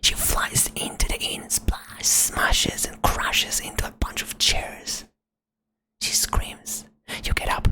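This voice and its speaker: A woman whispering a fantasy adventure to the listener in the second person, close to the microphone, as ASMR narration. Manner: soft whisper throughout, second-person telling. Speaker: storyteller.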